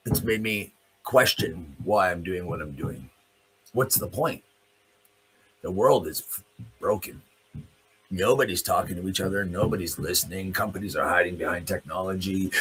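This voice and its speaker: A man's voice: low voice, pushed and bright, breathy edge, poor audio. A man hosting a news commentary show, ranting off the cuff in a blunt, sardonic, profane manner. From Canada. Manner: blunt, sardonic, profane, with pointed rhetorical questions. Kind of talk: opinionated rant, spoken off the cuff.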